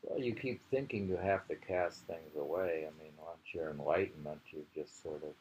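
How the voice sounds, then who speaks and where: A man's voice: a bass voice, moderate pace, darkish tone. A man reading poetry aloud and commenting informally, musing and rambling as he goes, often repeating phrases. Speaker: poetry reader. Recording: noisy amateur home recording.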